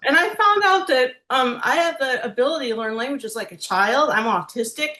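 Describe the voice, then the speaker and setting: A woman's voice: sits high, lively intonation, sharp tone. A woman from the United States in a casual chat, talking in long rambling runs and tending to overtalk. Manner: rambling, overtalking, quick laughs. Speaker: guest.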